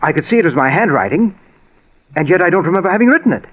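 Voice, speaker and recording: An actor playing a distressed man who fears for his sanity, speaking haltingly in 1940s radio style. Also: high voice, very animated, phone-quality sound. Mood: bewildered, troubled. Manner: halting, bewildered. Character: distressed man Martin, fearing his mind is failing. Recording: old radio drama recording, slightly husky sound.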